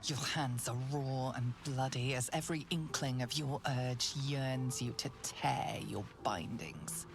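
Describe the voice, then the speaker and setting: Game casting: ominous female narrator, hushed and deliberate, gravelly very low register, slow scripted delivery. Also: background noise. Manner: hushed, breathy, deliberate storytelling. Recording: music behind the voice.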